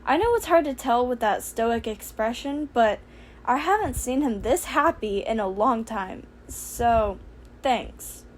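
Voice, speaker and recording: A woman's voice: a little high, expressive, a little slow, a little hiss or hum. A woman voicing a brash young professional heroine in an audiobook, casual and sardonic with a caring streak. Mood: casual, fond. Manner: casual, exasperated, emphatic. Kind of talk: audiobook character voice for fan fiction.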